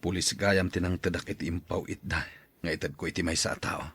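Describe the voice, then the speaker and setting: A man's voice: low voice, steady tone, a little fast, a bit bright, breathy edge, no echo, full character voice. A man playing a wary older village father in an audio drama. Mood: worried.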